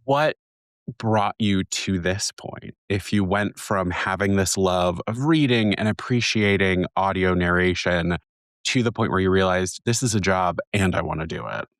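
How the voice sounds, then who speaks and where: A man's voice: sits low, unhurried, a bit bright, no echo, clean studio sound. A man hosting a conversational podcast interview in a casual, chatty way.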